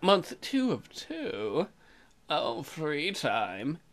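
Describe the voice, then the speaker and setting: A man's voice: fairly high pitch, wildly swooping pitch, extremely slow, fairly bright tone, soft background music. A man hosting a comedic let's play, reading game text aloud with crude, irreverent side remarks.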